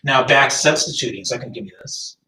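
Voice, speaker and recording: A math teacher whose first language is French, lecturing a class and thinking aloud, often stopping to rephrase. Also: male voice, mid-range voice, a little fast, pushed and bright. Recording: echoey room, distant mic.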